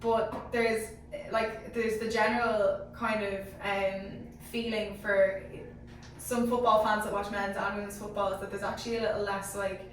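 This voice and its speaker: A woman's voice: medium pitch, level tone, moderate pace. An Irish woman giving emphatic opinions in a casual group discussion about sport. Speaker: sports show panellist. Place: echoey indoor room. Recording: very echoey room, distant mic, faint noise.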